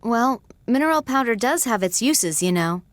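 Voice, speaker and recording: A woman's voice: a little high, expressive, moderate pace, dry recording, natural voice. A young woman acting a spirited, straight-talking goatherd in a video game cutscene. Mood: grave, warning.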